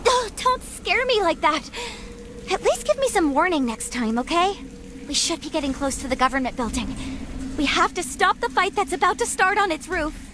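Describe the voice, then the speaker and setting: A woman voicing an earnest, insistent teenage girl in an anime adventure game, expressive and urgent. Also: very high-pitched, normal speed, slight background noise, heavily acted. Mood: flustered, determined. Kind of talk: anime-style video game voice acting. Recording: studio voice under game music.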